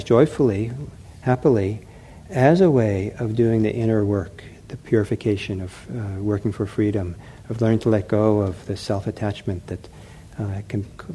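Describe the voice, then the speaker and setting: A middle-aged man giving a dharma talk as a meditation teacher, gentle and unhurried, often pausing with fillers and restarting his phrases. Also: on the low side, a little hiss or hum. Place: meditation center hall.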